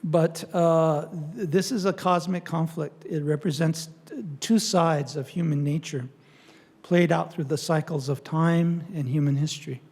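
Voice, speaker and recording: An older man giving a public talk and answering audience questions, speaking haltingly with many fillers and restarts. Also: a little high, steady tone, a little mellow. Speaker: lecturer on Native studies.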